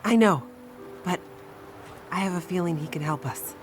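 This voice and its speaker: A woman's voice: fairly low pitch, normal speed, lightly acted. A woman playing a weary, earnest young woman scientist in a video game cutscene, hesitant in her phrasing. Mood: earnest pleading. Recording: music behind the voice.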